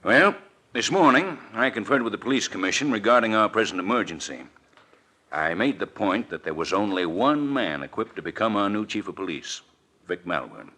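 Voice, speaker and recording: An actor playing an earnest, emphatic city official in a 1940s radio mystery. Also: male voice, on the low side, expressive, moderate pace, pushed and bright. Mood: earnest, defensive. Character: earnest, insistent male city official under pressure.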